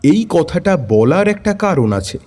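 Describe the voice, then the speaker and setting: A man's voice: a little high, big pitch swings, unhurried, darkish tone. A man narrating a scripted first-person detective story.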